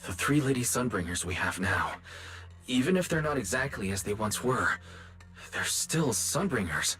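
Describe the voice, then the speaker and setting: A man's voice: medium pitch, normal speed, faint music behind. A man playing an earnest, inquisitive young detective in a video game, wary and questioning. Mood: shocked disbelief. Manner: questioning, expressive, puzzled. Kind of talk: video game story voice acting.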